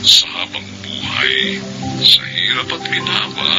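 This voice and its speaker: A Filipino man acting in a radio drama as a solemn priest, measured and ceremonial. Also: mid-range voice, huge pitch swings, over music, poor audio. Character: solemn priest officiating a wedding.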